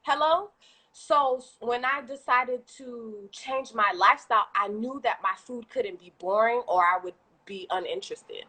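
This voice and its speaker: Chatty Haitian-accented woman, bright tone. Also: on the high side, normal speed, breathy edge, rough recording. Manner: talkative, animated, laughs often. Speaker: guest entrepreneur. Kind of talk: casual live-stream chat. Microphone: video call.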